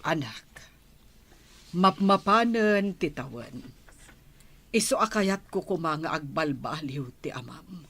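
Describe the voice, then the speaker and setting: A woman with an Ilocano accent acting a worried, admonishing village mother with a husky voice in a radio drama. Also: low-pitched, expressive, takes it slowly, pauses often, a bit bright, heavily acted.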